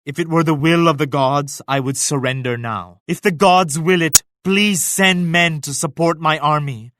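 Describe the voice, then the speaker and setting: An actor voicing a devout, dignified Mughal emperor in a video game, speaking in a solemn, formal, measured manner. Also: male voice, a little high, noisy background, close to an everyday voice. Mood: mournful. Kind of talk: scripted video game character lines.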